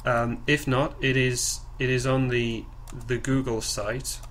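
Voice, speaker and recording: A man informally presenting to a group on a conference call, haltingly and with repeated words and phrases. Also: medium pitch, level tone, fairly bright tone. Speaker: project coordinator leading a group call. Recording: clearly noisy conference call recording.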